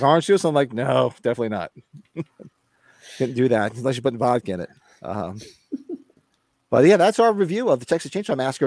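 A man chatting fast and loosely as a podcast co-host, his voice strained and fading. His pitch bounces around a lot, and the sound is dry and close with no room echo. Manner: lively, joking, reads viewer comments aloud. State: losing his voice. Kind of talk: casual chat, reading live chat.

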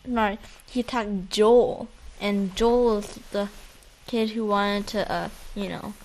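A woman chats on a gaming podcast in a medium-pitched voice. Her delivery is loose and unscripted, with words repeated as she finds her way, and she swears casually. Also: steady tone, slow, low-quality recording. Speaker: podcast co-host.

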